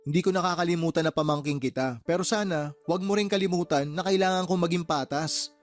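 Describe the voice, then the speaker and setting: An actor playing a firm, fair-minded uncle and shop boss who reasons and admonishes, in a Tagalog radio drama. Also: male voice, on the high side, quick pace, no room sound, soft background music, close to an everyday voice.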